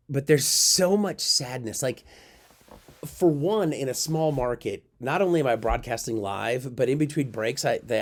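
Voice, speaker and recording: A man telling a personal story in an emotional, self-mocking way, with sudden jumps in pitch.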